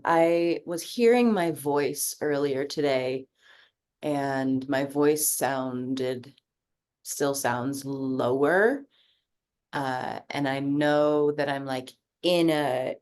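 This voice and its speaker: A woman's voice: very deep voice, takes it slowly. A woman sharing in a group coaching video call, talking in a casual, reflective way, full of fillers and easy swearing. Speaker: coaching participant.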